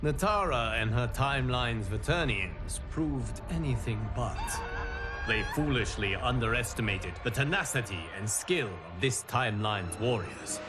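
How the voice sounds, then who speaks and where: A man voicing a solemn, godlike male guardian of the timelines, narrating game cutscenes in a grave, measured way. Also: mid-range voice, natural voice.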